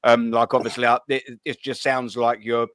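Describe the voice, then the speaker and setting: A man's voice: mid-range voice, flat delivery, normal speed, fairly bright tone, dry recording. A man hosting a football call-in show, chatting casually with lots of slang, swearing and fillers. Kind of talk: casual live-stream chat.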